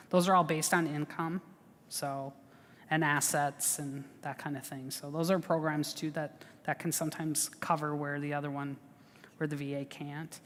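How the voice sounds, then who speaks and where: A woman's voice: low-pitched, fairly bright tone, in a large hall. A woman advising people on veterans benefits in a chatty, reassuring way, with lots of fillers and restarts. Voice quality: big voice. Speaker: veterans service officer. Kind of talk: informal advice talk.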